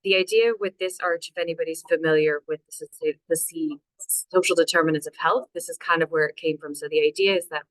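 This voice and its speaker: A Canadian woman in her thirties presents over a video call, her voice sitting low for a woman. She talks loosely and chattily, dropping fillers and poking fun at herself. The sound is dry and close. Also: fairly even intonation, a little fast, no echo, poor audio. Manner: chatty, self-deprecating, many fillers. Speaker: local authority climate officer. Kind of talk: webinar presentation.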